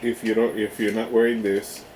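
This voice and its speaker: A man giving a casual hands-on product demo in a halting way, often checking in with the listener. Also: on the low side, little pitch movement, a little mellow. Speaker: product reviewer. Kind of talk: casual hands-on unboxing demonstration. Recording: roomy echo with clear background noise.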